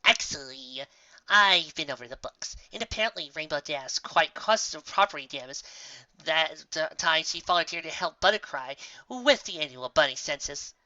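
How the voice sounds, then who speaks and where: A woman's voice: low-pitched, expressive, normal speed, pushed and bright, dry recording, dull audio. A woman voicing a smug, dismissive unicorn bureaucrat in a storybook, lecturing in a pompous, officious way.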